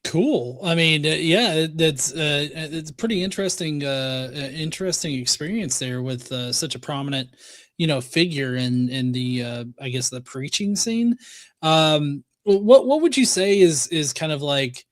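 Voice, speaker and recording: Halting male host, slightly high, dry sound. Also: lively intonation, no echo. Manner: hesitant, halting, meandering questions. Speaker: podcast host, interviewer. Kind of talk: casual interview chat.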